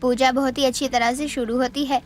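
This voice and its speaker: A woman's voice: high-pitched, level tone, brisk. A woman narrator reading a scripted moral story. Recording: music under the voice.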